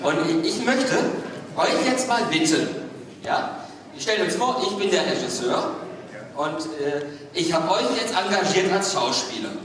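Guy moderating a panel on stage, higher voice, really echoey big hall. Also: lively intonation, measured pace, crowd noise. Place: theatre stage at a fan convention. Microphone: handheld mic, heard from far camera. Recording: echoey hall from far off, audience noise.

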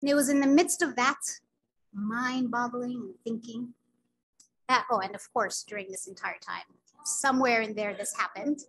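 A woman's voice: fairly high pitch, normal speed, fairly bright tone, low-quality recording. Earnest Sudanese-born woman lecturing on a call. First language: Arabic. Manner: earnest, personal storytelling with frequent filler words. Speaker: physician giving a grand rounds lecture. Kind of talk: academic lecture.

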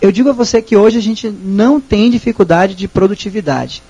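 Husky high-voiced Brazilian man presenting. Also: fairly quick, warm tone, muffled recording. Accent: Brazilian Portuguese. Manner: hesitant, many restarts, explanatory. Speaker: speaker at a technical talk. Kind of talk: presentation and audience Q&A. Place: small auditorium. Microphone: handheld microphone.

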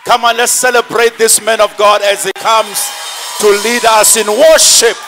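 A man's voice: high-pitched, fairly even intonation, a little slow, background noise. A middle-aged South African man preaching a sermon in an emphatic, repetitive, declamatory manner in a church hall.

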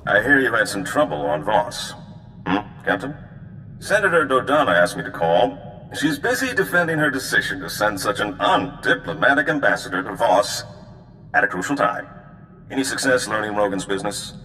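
An actor playing a suave male republic officer in a video game, smooth, confident and flirtatious. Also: on the low side, big pitch swings, normal speed, bright, forceful tone, in a large hall, slight background noise, low-quality recording.

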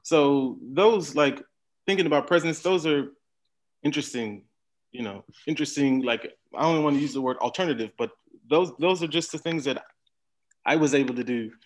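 A man's voice: on the high side, moderate pace. A man speaking as a panel guest on a video call, in a casual, loose way with trailing phrases.

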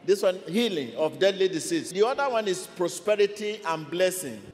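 A man's voice: sits high, animated, moderate pace. A man preaching in a charismatic deliverance service, speaking into a handheld microphone. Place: large crowded church hall. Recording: echoey hall, noisy congregation, dated broadcast.

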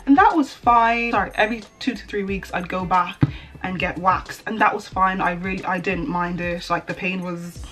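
A woman's voice: fairly low pitch, moderate pace, a bit bright. Young woman, chatty, self-correcting, small bedroom, camera mic, faint background music. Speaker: beauty vlogger. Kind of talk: casual chat to camera. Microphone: camera in front at desk distance.

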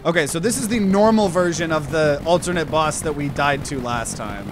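A man in his twenties streaming a game, chatting with viewers, excitable and swearing freely. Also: a little high, normal speed, music in the background. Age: 20s. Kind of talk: live gameplay commentary.

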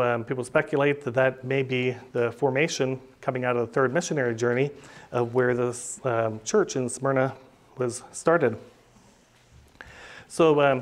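A middle-aged man, preaching a teaching sermon at a podium in a small church and speaking plainly with many "uh" and "um" fillers. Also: medium pitch. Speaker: pastor. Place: small church room, indoors. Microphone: podium microphone.

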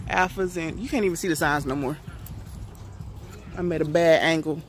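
A woman's voice: sits low, normal speed. A young woman chatting playfully to her viewers while vlogging on a college campus, filming on a phone at arm's length outdoors. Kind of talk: casual vlog chat. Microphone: phone camera held at arm's length.

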